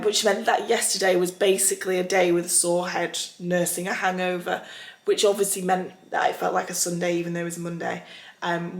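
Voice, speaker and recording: A young woman with a husky, raspy voice from tonsillitis, chatting upbeat to her vlog viewers with lots of ums. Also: on the low side. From England. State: recovering from tonsillitis. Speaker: vlogger. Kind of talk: casual vlog chat. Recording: echoey room.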